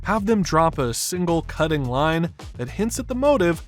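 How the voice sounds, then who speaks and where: Guy doing a video essay voiceover, very animated and opinionated, music under it. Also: a little high, normal speed. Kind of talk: scripted film analysis narration.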